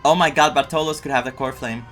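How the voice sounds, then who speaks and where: A man's voice: medium pitch, fairly even intonation, fast talker, a bit bright, faint music behind. A man giving casual reaction commentary as a gaming streamer, repeating himself with theatrical exasperation. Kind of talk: casual reaction commentary over a story game.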